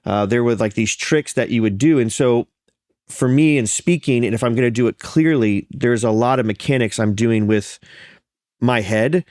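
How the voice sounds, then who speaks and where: A man with a slightly congested, faintly nasal voice at an ordinary middle pitch, telling his story earnestly and circling back to restart his sentences. The recording is dry and close, with no room around him. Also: moderate pace, darkish tone, dry recording. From Florida. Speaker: pastor and podcast guest. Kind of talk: conversational interview.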